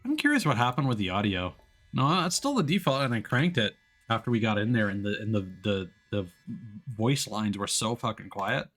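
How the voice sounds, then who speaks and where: A man's voice: lowish voice, big pitch swings, moderate pace, fairly bright tone, soft background music. A man doing casual live stream commentary on a video game, chatting to viewers in a wry, sarcastic way.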